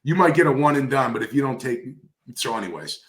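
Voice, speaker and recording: A man's voice: medium pitch, animated, fast talker, a bit bright. A man speaking conversationally in an industry interview, coaching emphatically and repeating key phrases for stress. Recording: roomy, echoey sound.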